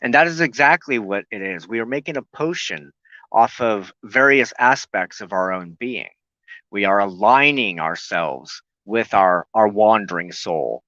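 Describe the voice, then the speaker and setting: A man giving an earnest, unscripted spoken teaching as a broadcast host, reflective and halting, often pausing in the middle of a sentence. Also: fairly low pitch, expressive, sharp tone, dry recording, muffled recording.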